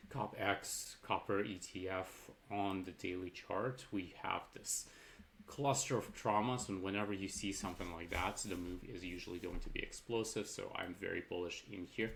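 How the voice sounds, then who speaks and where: Low, gravelly man running through charts. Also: a little hiss or hum. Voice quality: a little raspy from talking. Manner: loose and chatty, with fillers and casual swearing. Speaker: market commentator. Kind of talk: casual market analysis over charts.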